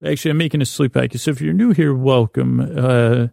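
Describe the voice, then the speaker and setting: Very creaky, meandering man with a mellow voice. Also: medium pitch, no echo, studio quality. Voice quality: slurred, mumbly delivery with stumbled words. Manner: meandering, halting, keeps losing his thread. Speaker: host of a bedtime podcast. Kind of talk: rambling, absurd comic monologue.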